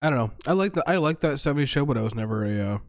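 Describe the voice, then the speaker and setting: A man's voice: medium pitch, expressive, very fast, no room sound, studio quality. A young man co-hosting a podcast, laid-back, chatting with short replies. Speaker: podcast co-host. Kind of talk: casual chat.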